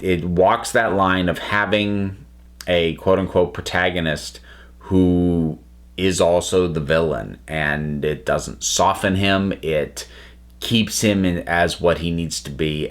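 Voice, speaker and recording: A man giving an unscripted review to viewers, speaking emphatically and haltingly as he thinks aloud. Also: low-pitched. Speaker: reviewer and channel host.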